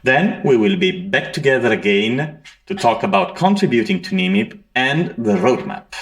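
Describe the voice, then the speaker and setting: Gravelly Italian man giving a tech talk. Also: medium pitch, expressive, normal speed. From Italy. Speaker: conference presenter. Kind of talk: conference talk.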